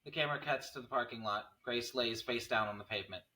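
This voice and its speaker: A man's voice: mid-range voice, fast, pushed and bright. A man reading stage directions as narrator in a scripted table read of a screenplay.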